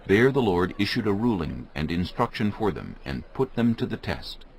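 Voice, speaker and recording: A man's voice: fairly low pitch, very animated, normal speed, creaky, a little hiss or hum, low-quality recording. A man narrating scripture as a dramatic story, in a solemn storytelling manner.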